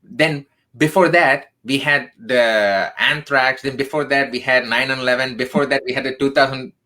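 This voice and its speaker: Man, from southern India, 30s, bright tone, earnest, emphatic, career coach guest. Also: medium pitch, fairly even intonation, moderate pace. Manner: earnest, emphatic, frequent "right?" tags. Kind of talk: radio interview storytelling.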